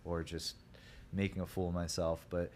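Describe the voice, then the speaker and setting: A young man from Ohio with a deep voice, a podcast guest telling his story in a rambling, laid-back way full of fillers. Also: flat delivery, normal speed, a little mellow. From Columbus, Ohio. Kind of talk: casual podcast chat.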